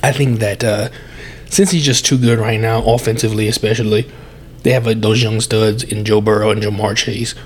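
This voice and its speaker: A man's voice: lowish voice, level tone, moderate pace, slight background noise. Congested man hosting a sports podcast. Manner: chatty, self-correcting, heavy breathing. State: congested with sore throat. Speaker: podcast host. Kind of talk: solo sports podcast predictions.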